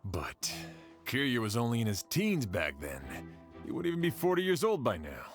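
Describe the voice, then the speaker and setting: An actor playing a serious, sceptical sheriff in an anime game, firm and deliberate. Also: male voice, medium pitch, wildly swooping pitch, soft background music. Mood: doubtful.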